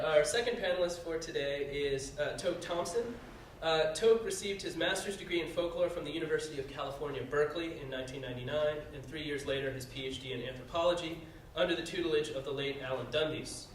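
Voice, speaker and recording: A man moderates a conference panel and reads each speaker's introduction aloud, often pausing on "uh". His voice sits a little high. It reaches the mic from a distance in a very reverberant room with faint background noise. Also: fairly even intonation. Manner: reads bios with frequent "uh" fillers. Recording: very echoey room, heard from a distance.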